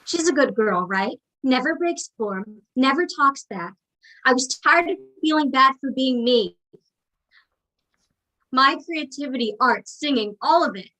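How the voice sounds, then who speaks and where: An actress playing a young college-bound daughter, wounded but resolute, in a staged play reading. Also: female voice, high voice, lively intonation, measured pace, sharp tone, heavily acted, rough recording.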